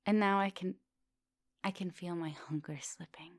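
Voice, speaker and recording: Low, coaxing vampire professor played by a woman. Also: steady tone, normal speed, no echo. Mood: hushed, worried. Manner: coaxing, polite, formal phrasing. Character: soft-dominant, coaxing female vampire professor, ancient but youthful. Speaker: teacher. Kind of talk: intimate ASMR roleplay.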